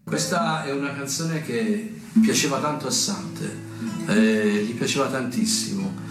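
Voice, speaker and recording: A man's voice: medium pitch, unhurried. A man introducing a song in plain spoken words, as a presenter at a recital. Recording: echoey, distant mic, music behind. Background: plucked guitar.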